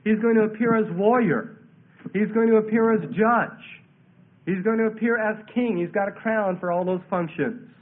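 Guy preaching bible verse by verse, stuffy head cold, highish voice, thin phone-like radio sound. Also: moderate pace.

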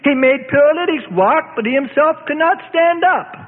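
A man's voice: lively intonation, moderate pace. A man voicing a scornful chief priest in a dramatized story, jeering in a raised, sneering voice. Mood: mocking. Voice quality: high sneering voice. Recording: tinny phone-like sound with long reverb.

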